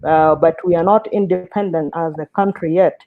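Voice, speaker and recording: Female panel guest from South Sudan, halting explanatory delivery, dry tinny call line. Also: fairly low pitch, fairly even intonation, no echo. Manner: halting, searches for words. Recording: thin, tinny phone-like call audio.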